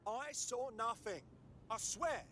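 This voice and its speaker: An actor playing a frightened street artist in a video game, talking in a nervous, pleading, colloquial way. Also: male voice, extremely high pitch, takes it slowly. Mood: panicked. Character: frightened male street artist who witnessed violence. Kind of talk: dramatic video game acting.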